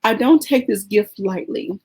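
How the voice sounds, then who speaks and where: A woman hosting a podcast, talking sincerely and thoughtfully about her purpose, with pauses and "um" fillers. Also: medium pitch, lively intonation, warm tone, husky. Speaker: podcast host. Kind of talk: casual podcast conversation.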